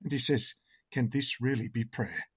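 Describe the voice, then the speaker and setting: A man with a hoarse, croaky voice, leading an online worship service, reading a hymn and praying in a slow, earnest and reverent manner. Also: mid-range voice, steady tone, no echo, clean studio sound.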